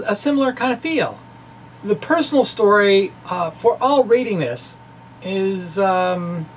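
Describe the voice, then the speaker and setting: Man musing haltingly, pitch swinging widely. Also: fairly bright tone, slight background noise. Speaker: science blogger and presenter. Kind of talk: dramatic reading of a science essay with ad-libbed asides.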